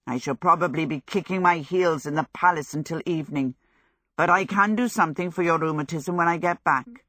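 A woman's voice: low voice, brisk, a bit bright, no echo. A dashing young wizard from a storybook as a woman narrator puts him on, male, vain and airy. He talks with breezy self-satisfaction, raised a little above plain narration. The recording is dry.

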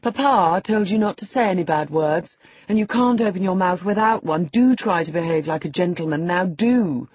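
A man's voice: high voice, expressive, moderate pace, darkish tone, slightly rough, no room sound, pitched above the performer's own voice. A man voicing a sickly, sneering teenage boy who taunts in a raised, giggly voice. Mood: taunting.

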